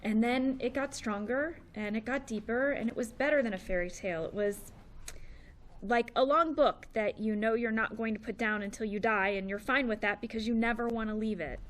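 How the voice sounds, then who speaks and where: A woman acting a shy, private, devoted wife in an earnest, halting way at a comedy table read. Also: on the high side, natural voice. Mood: earnest, tender. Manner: earnest, halting, self-conscious. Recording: live stage, faint background noise.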